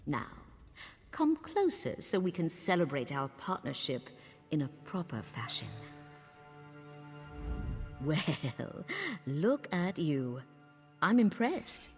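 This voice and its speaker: A woman's voice: low-pitched, sing-song, takes it slowly, pauses often, big-room sound, exaggerated voice. A woman playing a seductive, haughty noblewoman in a video game, with a sultry, condescending, theatrical delivery. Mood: flirtatious. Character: seductive, haughty noblewoman, flirtatious and coldly dismissive. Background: game music.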